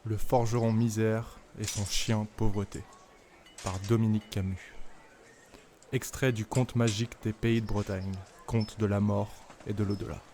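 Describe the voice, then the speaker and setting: A man announces a story title in a low, mellow voice. His scripted reading is flat and even, with faint noise in the recording. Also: unhurried.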